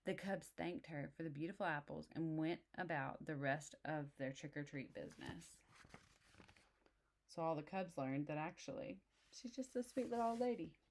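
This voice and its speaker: A woman's voice: low voice, moderate pace, darkish tone, a little hiss or hum. A woman reading a storybook aloud expressively for young children, adding simple explanatory asides. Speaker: storybook reader.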